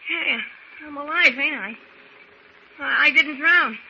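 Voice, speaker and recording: A man's voice: very high-pitched, takes it slowly, bright, forceful tone, exaggerated voice. A man playing a scrappy young stowaway lad, plaintive and slangy, in 1940s radio style. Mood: dazed, relieved. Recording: very noisy, dull old radio recording.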